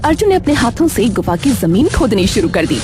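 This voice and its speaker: A woman's voice: on the high side, very animated, a little fast. A woman reading scripted narration for a cartoon story as its storyteller. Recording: music behind the voice.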